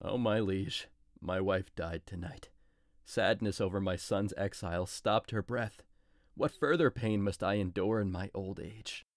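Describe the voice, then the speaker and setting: A man's voice: sits low, unhurried, dry recording, studio quality. A man playing a grieving old nobleman and bereaved father in a modernized Shakespeare audio drama. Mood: sorrowful.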